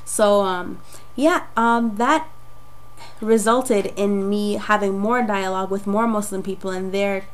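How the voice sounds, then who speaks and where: A young woman from Jamaica, raspy from a cold, telling her personal story in a chatty, rambling way with many fillers. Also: mid-range voice, measured pace. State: getting over a cold. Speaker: vlogger. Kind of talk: casual personal testimony to camera.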